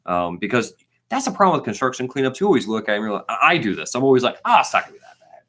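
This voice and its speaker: A man in his thirties co-hosting a casual live question-and-answer chat, talking in an animated, emphatic, informal way. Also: mid-range voice, very fast. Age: 30s. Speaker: co-host of a trade channel.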